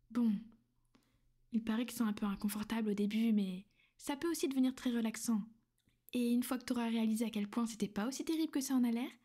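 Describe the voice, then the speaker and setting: A woman voicing a gentle, possessive female vampire in an ASMR roleplay. She speaks softly and intimately, often in a half-whisper, coaxing and reassuring. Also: fairly high pitch, natural voice. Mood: soothing.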